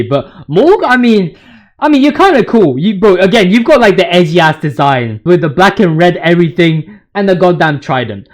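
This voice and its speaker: A man's voice: sits high, a little mellow. A man hosting a gaming video, giving casual, slangy fan commentary in a hyperbolic, emphatic way.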